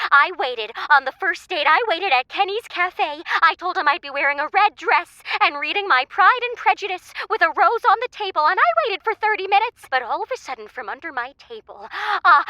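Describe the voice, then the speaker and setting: A woman's voice: very high voice, pushed and bright, no room sound, heavily acted. An actress playing a flustered, humiliated jilted woman calling a radio show, stammering and repeating herself indignantly. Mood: nervous, wounded. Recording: dull phone-in caller line.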